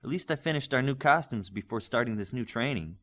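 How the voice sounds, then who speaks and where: Quick-witted teasing young heroine read by a male narrator, higher pitch, lively intonation. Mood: eager. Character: brainy, teasing young female superhero student.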